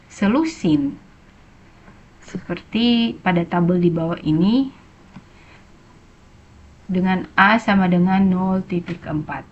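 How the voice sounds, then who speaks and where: Low-voiced young woman, muffled recording. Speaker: student presenter. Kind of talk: reading slides in a group presentation. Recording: dull lo-fi recording.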